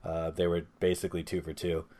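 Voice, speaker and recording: A man's voice: deep voice, flat delivery, fairly quick, mellow. A man hosting a casual movie discussion podcast, giving his opinions with joking asides. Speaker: podcast host.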